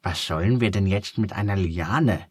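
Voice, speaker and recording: A man's voice: deep voice, normal speed, slightly rough, no echo, studio quality. A man voicing a timid, clumsy young male elephant who talks hesitantly and plaintively in a storybook reading. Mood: pleading.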